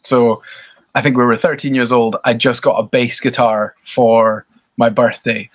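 A man in his thirties from Scotland with a Scottish accent, chatting relaxed and self-deprecating as a podcast guest. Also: medium pitch, a little slow. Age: 30s. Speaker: podcast guest, band bassist. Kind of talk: casual interview chat.

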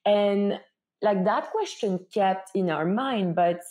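A woman's voice: medium pitch, takes it slowly, a little mellow. A woman from Belgium with a Belgian accent, answering interview questions as a brand founder in a conversational, explanatory way, often posing rhetorical questions.

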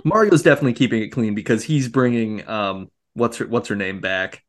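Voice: medium pitch, fairly quick. A podcast co-host recapping a film in casual, joking conversation.

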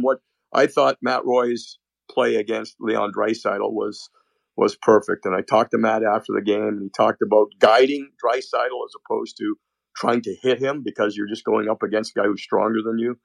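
Male analyst, gravelly, dry recording, animated chatty delivery. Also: medium pitch, no room sound. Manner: animated, restarts often. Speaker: hockey analyst. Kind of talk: casual sports chat.

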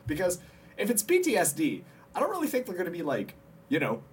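A man's voice: high-pitched, wildly swooping pitch, quick pace, breathy edge. A man co-hosting a comedy podcast in casual chat, joking and laughing often. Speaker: podcast co-host.

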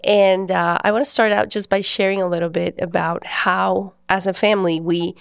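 A woman's voice: medium pitch, little pitch movement, moderate pace, vocal fry, clear, resonant tone, no echo, clean studio sound. Woman hosting a faith podcast. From Guatemala. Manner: earnest and conversational. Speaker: podcast host. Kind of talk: personal faith storytelling.

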